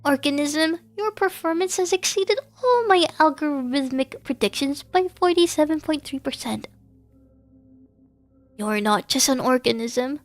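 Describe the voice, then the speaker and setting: A woman's voice: high voice, lively intonation, unhurried, faint music behind, voice pushed up. A woman voicing a pompous inhuman machine boss in a game, speaking in stilted, formal, grandiose phrases. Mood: pompous, proud.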